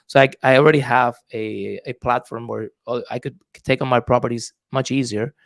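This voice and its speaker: A man's voice: mid-range voice, steady tone, normal speed, gravelly, no echo. A man from Puerto Rico with a Puerto Rican accent, talking as a podcast guest in a thoughtful, hesitant way with many fillers. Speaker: guest, real estate investor. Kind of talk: casual podcast interview.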